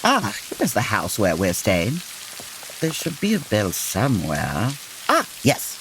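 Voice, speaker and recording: A man playing a logical, formal gentleman detective with theatrical, proper diction in a comedic audio drama. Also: on the low side, wildly swooping pitch, slow, background noise, heavily acted. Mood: intrigued. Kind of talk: comedic old-time radio drama acting.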